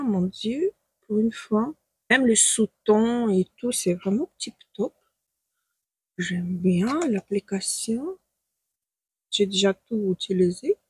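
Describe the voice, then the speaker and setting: A woman's voice: a little high, breathy edge, no echo. A woman from Ukraine with a Ukrainian accent, speaking French as a second language, chatting hesitantly through a makeup tutorial and searching for words. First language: not French. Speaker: beauty stylist and makeup vlogger. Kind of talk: casual makeup tutorial talk to camera. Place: at home, in front of her makeup.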